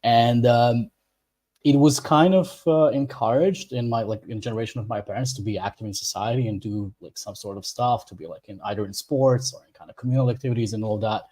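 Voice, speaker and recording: A man from Bosnia and Herzegovina, an English speaker, talking as an interview guest in a relaxed way, often restarting his sentences. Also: mid-range voice. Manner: relaxed, laughs easily, halting restarts. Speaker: guest expert. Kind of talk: casual interview.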